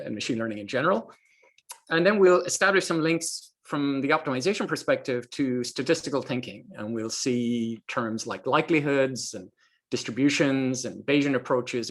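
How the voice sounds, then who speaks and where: An Irish man in his sixties giving an academic overview lecture, measured and often pausing with "uh" between phrases. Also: mid-range voice, a bit bright. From Ireland. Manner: measured, frequent hesitations.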